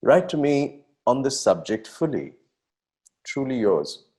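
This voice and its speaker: A man's voice: medium pitch, sing-song, takes it slowly, mellow. A man acting a melodramatic, effusive young poet. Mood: effusive, dramatic. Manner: florid, impassioned, declamatory. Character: effusive, melodramatic young 19th-century male poet writing letters. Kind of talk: theatrical dramatic letter reading.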